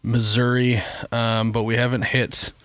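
A man's voice: medium pitch, little pitch movement, unhurried, a bit bright, no echo. A man hosting a podcast, upbeat and teasing as he chats with his guests. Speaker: podcast host. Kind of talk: casual podcast chat.

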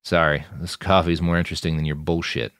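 A gruff male veteran fighter, hazy with drugs and hard to impress, acted out by a man reading an audiobook. He speaks very low, in short blunt phrases. The recording is dry and close. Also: flat delivery, a little fast, a bit bright, no echo. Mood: gruff indifference. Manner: terse, blunt, laconic. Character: gruff, drugged, indifferent male post-human war veteran.

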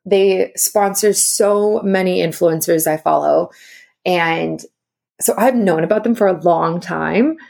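Young female podcaster, upbeat rambling chat, heavy vocal fry. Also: on the low side, takes it slowly, darkish tone. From South Africa. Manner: chatty, upbeat, heavy use of "like". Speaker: podcast host. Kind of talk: casual solo podcast chat.

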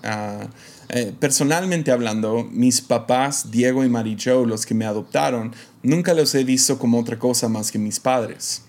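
A man's voice: medium pitch, a little mellow. Man with an american accent, reflective. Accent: American English. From Mexico. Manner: hesitant, many fillers and restarts, light laughs. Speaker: podcast host. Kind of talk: casual reflective monologue.

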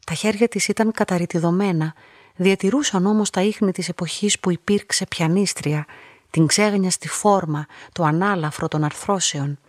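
A woman playing a lonely, submissive young narrator in a literary radio drama, speaking in a measured, introspective way. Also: fairly low pitch, no echo, clean studio sound, close to an everyday voice. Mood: quietly observant. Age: young adult. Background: music.

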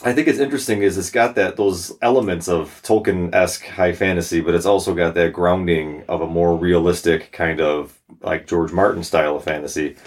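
Deep-voiced joking male co-host. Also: fairly even intonation, a little fast, few pauses. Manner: joking, laughs often, swears casually. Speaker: podcast co-host. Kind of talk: casual book club chat.

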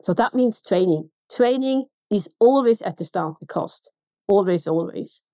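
A woman's voice: mid-range voice, lively intonation, normal speed, mellow, no room sound, clean studio sound. A woman from Switzerland with a Swiss German accent, a consultant guest on a podcast interview, talking emphatically and throwing out pointed rhetorical questions.

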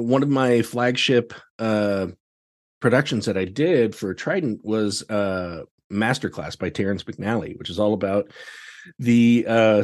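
A man chatting casually as a podcast guest, telling anecdotes in an animated way and acting out exchanges. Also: on the low side. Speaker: podcast guest, theatre director.

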